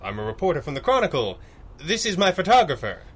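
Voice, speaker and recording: An actor playing a composed, commanding masked crime-fighter in 1930s-style radio drama, with measured, formal diction. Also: male voice, on the high side, huge pitch swings, a bit bright, breathy edge, full character voice. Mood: brisk, insistent.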